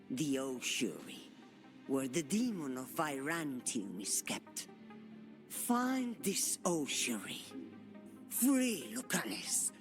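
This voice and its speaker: A woman's voice: a bass voice, exaggerated voice. An older woman acting as a stern, grave matriarch of an assassin house in a video game. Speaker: actor. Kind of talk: dramatic game cutscene acting.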